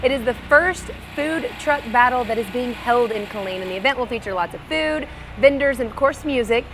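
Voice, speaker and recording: A woman's voice: on the high side, expressive, brisk, background noise. An American woman working as a TV news anchor, chatting at the desk and reading local news.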